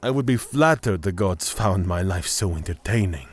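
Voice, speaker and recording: Man acting a deep-voiced haughty cleric. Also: expressive, normal speed, breathy edge. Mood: proud, composed. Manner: formal, self-assured, matter-of-fact. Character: haughty, rigid male cleric of the order god. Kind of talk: fantasy audio drama acting.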